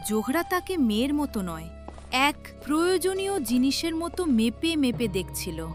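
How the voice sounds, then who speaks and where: Higher-pitched woman narrating a story. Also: very animated, moderate pace. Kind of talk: scripted dramatic story narration. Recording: music behind the voice.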